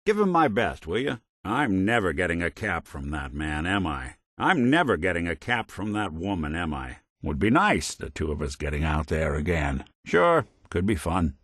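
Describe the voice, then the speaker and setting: Casting: weary American robot private eye for a game, lowish voice, lively swings in intonation, wry and principled. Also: male voice, no room sound, studio quality, full character voice. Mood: wry, casual. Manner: wry, streetwise, old-time gumshoe delivery. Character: world-weary, wisecracking robot private detective, hardboiled and principled. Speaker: video game voice actor. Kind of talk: scripted game dialogue in a hardboiled noir detective manner.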